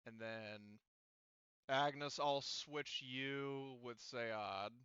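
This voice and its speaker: A man's voice: mid-range voice, drawn-out words, fairly bright tone, smooth, no echo, muffled recording. A man casually running a tabletop game as game master, thinking aloud and often muttering through the steps to himself.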